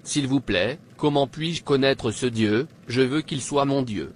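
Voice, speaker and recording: Man acting cartoon king, fervent convert, reverent, earnest, medium-pitched voice, faint noise. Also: measured pace, close to an everyday voice. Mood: earnest, seeking. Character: pagan king, a meek and fervent new convert. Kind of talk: scripted cartoon dialogue.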